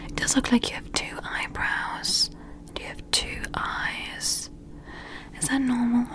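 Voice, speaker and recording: A gentle female alien physician, played by a woman, conducts a sci-fi ASMR exam in a soft whisper. Her voice sits a little high and moves very slowly. She is polite and methodical, explaining and asking with meticulous care. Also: poor audio. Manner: slow, gentle, methodical, questioning. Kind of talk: whispered ASMR medical roleplay.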